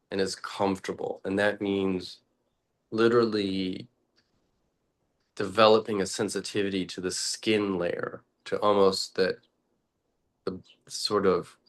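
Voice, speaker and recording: A man leading a guided meditation talk as a teacher, speaking deliberately in short, halting phrases with long pauses. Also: low-pitched, a bit bright.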